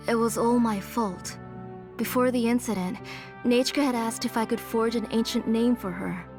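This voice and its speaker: A woman's voice: fairly high pitch, close to an everyday voice. Woman acting an older motherly game character. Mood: grief-stricken. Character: grieving, doting older mother, retired forger.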